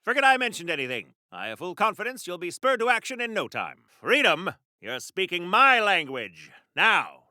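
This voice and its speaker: A man's voice: high voice, sharp tone, dry recording, clean studio sound, heavily acted. A man playing a pompous, wholesome golden age comic book superhero in a video game, with wordy, old-fashioned genteel diction in the style of a 1940s radio serial. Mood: confident, rallying.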